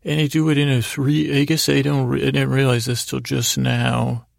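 A man with a gravelly, creaky voice hosting a podcast, rambling through an improvised bedtime monologue in a halting, stammering way that often interrupts itself. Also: medium pitch, little pitch movement, no room sound, clean studio sound. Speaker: podcast host.

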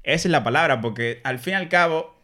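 A man's voice: a little high, pushed and bright. A young man, co-hosting a casual podcast chat in an emphatic, slangy way. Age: young adult. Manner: emphatic, slangy, quick agreement. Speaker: podcast co-host.

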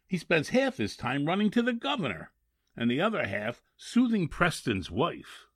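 An actor playing a grumbling, plain-spoken prison guard with husky, ungrammatical working-class talk in a 1940s radio drama. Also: male voice, fairly high pitch, huge pitch swings, normal speed, a bit bright, dry recording, clean studio sound, exaggerated voice. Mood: grumbling, obliging.

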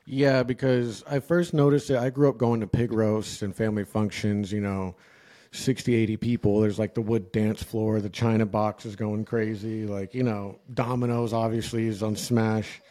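A man's voice: lowish voice, flat delivery, moderate pace, warm tone. An American man telling personal stories in casual, rambling, slangy conversation. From United States. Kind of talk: casual chat, personal anecdotes.

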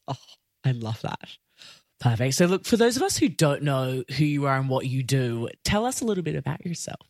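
A woman's voice: a bass voice, sing-song, fairly quick, a little mellow, no echo, studio quality. A woman hosting a podcast, reading an upbeat intro and then chatting enthusiastically with her guest. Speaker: podcast host. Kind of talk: scripted intro then interview chat.

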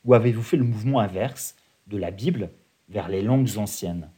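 A man's voice: fairly low pitch, normal speed, mellow, breathy edge. A man interviewing a guest, asking polite, formal questions.

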